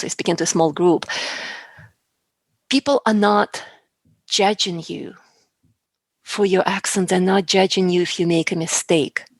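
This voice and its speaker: A woman's voice: mid-range voice, gravelly, no room sound. A woman speaking as a public speaking coach and guest in a conversational interview, earnest and emphatic, often repeating words for stress. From outside the US.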